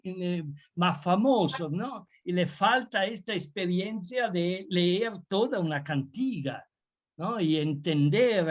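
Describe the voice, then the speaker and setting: An older man from Italy with an Italian accent, a guest lecturer answering questions on a video call, speaking haltingly with many restarts. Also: high-pitched. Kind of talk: Zoom talk Q&A. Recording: muffled video call audio.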